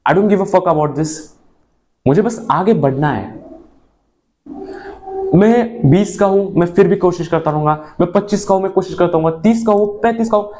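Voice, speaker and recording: A young man speaking an earnest motivational monologue to his viewers, mixing in English phrases and swinging between emphatic and low moments. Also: normal speed, pauses often, a little mellow, slight background noise. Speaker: motivational vlogger.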